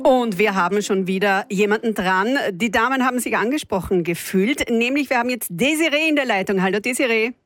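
A woman's voice: a little high, fairly quick, few pauses, fairly bright tone, faint music behind. A woman hosting a live radio phone-in, upbeat and encouraging. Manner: enthusiastic, encouraging. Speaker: radio host.

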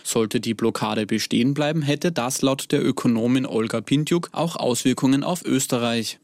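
Man, news reporter, formal steady read, dry studio. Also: medium pitch, normal speed, no room sound.

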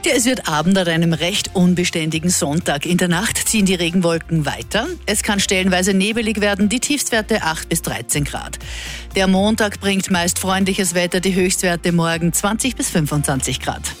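A woman's voice: lowish voice, moderate pace, soft background music. A woman with an Austrian accent presenting radio weather and traffic bulletins.

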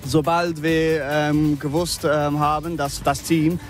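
Male fan, higher flat voice, halting German, faint music. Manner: halting, many fillers. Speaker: football fan.

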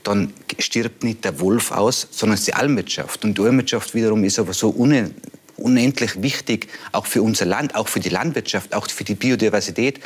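An Austrian man gives an interview statement in a lowish, slightly husky voice. He makes his points emphatically, stacking repeated phrases. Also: fairly quick. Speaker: chamber of agriculture president.

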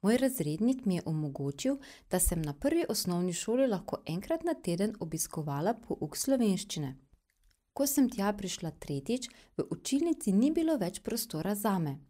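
A woman reads a translated voice-over in an even, measured way. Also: medium pitch. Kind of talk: read voice-over narration.

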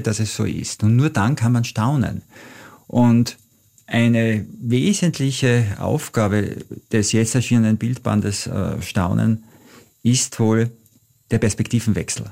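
A middle-aged man speaking German with an Austrian accent. His voice is deep and has a rough, gravelly edge with a mellow, dark tone. He answers interview questions reflectively, weaving in travel anecdotes. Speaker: travel author, guest.

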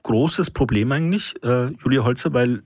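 A man's voice: mid-range voice, normal speed, darkish tone, no echo, clean studio sound. A man hosting a discussion, asking long questions with many fillers. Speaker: host. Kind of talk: talk show discussion.

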